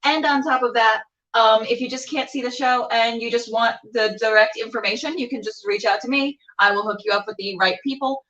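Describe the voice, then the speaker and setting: High-voiced, theatrical woman hosting. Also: a little fast, sharp tone, recorded from a distance, lo-fi sound. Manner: bubbly, theatrical, self-mocking. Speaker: web show host. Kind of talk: livestream hosting, casual chatty announcements. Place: indoor room with a door. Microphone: camera on a tripod, a little distant.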